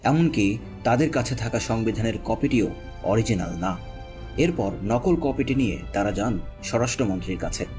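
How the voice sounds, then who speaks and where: A man's voice: lowish voice, lively intonation, moderate pace, a little mellow. A man reading scripted, documentary-style voice-over narration. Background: background music.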